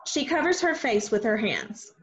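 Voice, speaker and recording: A woman's voice: a little high, wildly swooping pitch, normal speed, a bit bright. A woman reading stage directions as scripted narration for a play. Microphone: video call. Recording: dull video-call audio.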